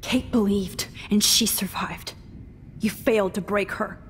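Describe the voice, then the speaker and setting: A woman's voice: medium pitch, steady tone, measured pace, lightly acted. A woman acting a defiant, angry teenage captive in a video game, bitter, terse and accusing. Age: young adult. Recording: long echoey reverb, faint hiss.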